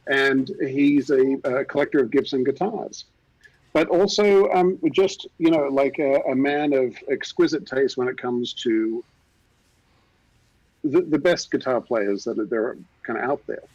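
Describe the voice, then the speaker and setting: A man from Australia with a softened Australian accent, telling his story casually over a video call with many fillers. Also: medium pitch, a little slow, no echo, lo-fi sound. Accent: Australian, softened by years in the US. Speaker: guest, art designer.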